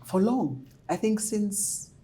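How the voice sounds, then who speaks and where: A woman's voice: medium pitch, lively intonation, slow, heavy background noise. A woman from Kenya hosting a podcast, chatty and playful as she asks questions. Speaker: podcast host. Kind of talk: casual interview.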